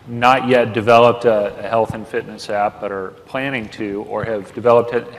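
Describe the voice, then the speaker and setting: A man moderating a conference panel, speaking deliberately with pauses and fillers. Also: lowish voice. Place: large conference hall stage. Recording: long hall reverb.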